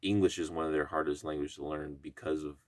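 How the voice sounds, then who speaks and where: A man who works as an actor and writer, talking as a guest in a relaxed, easygoing way during a casual conversation. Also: extremely low pitch, fast, no room sound. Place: indoor room with a field recorder. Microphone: field recorder.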